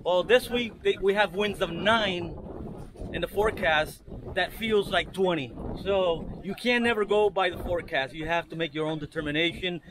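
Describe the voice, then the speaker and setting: A man's voice: high voice, normal speed, noisy background. A middle-aged man hosting a boating vlog, talking casually with many fillers and restarts. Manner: easygoing, rambling with fillers and restarts. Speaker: boating channel host. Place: open boat on windy water, outdoors. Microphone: action camera held close.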